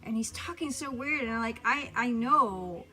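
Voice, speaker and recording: A woman's voice: fairly high pitch, animated, moderate pace, sharp tone, rough recording. A woman from Miami co-hosting a podcast, chatting casually, swearing freely and drifting into asides. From Miami, Florida. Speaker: podcast co-host. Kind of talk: casual chat.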